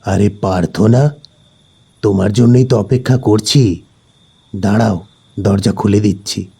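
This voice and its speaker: A tall male ghost acted by a man telling the tale talks like a familiar friend, eerily welcoming, in a horror audio story. The voice is husky, dark and unhurried. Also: lowish voice, pitched below the performer's own voice, exaggerated voice.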